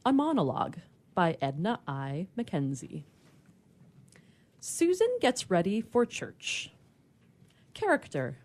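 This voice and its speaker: A woman's voice: lowish voice, sing-song, slow, pauses often, darkish tone. A woman who performs in radio theatre, speaking as a player and narrator on the show. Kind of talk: casual chat and scripted narration.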